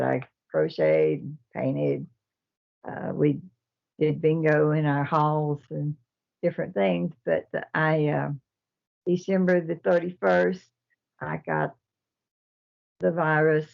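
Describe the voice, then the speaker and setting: An older woman from Texas, a care home resident answering interview questions in a slow, halting way with many fillers. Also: low voice, gravelly. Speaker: nursing home resident and resident council president. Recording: dull lo-fi recording.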